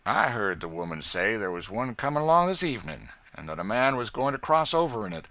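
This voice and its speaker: A man reading an audiobook and voicing a loud, domineering slave catcher in a hard, forceful tone. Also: fairly low pitch, sing-song, a little fast, no room sound, voice pushed up, full character voice. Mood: blunt, bossy. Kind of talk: audiobook character voice.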